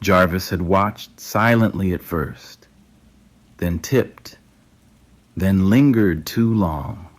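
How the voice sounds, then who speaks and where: A man with a very low voice narrates a dramatic audio story. He takes his time, delivering the scripted lines in short, clipped phrases and leaving deliberate pauses between them.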